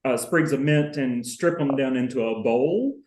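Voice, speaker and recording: A man's voice: mid-range voice, moderate pace, a little mellow. Mid-voiced man, careful and methodical explainer, echoey home on a video call. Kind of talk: casual video call chat. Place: home in Miami.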